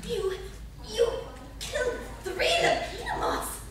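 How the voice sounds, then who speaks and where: A woman's voice: very high-pitched, expressive, slow pace, heavily acted. A woman playing a timid, anxious village woman, speaking hesitantly in a comic radio play. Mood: awed, nervous. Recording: very echoey noisy hall from far off.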